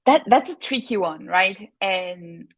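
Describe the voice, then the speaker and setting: A woman's voice: medium pitch, animated, slow pace, breathy edge, dry recording. A woman from Brazil whose first language is Portuguese, speaking with a Brazilian Portuguese accent as an earnest podcast guest who sometimes searches for words. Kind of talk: casual interview chat.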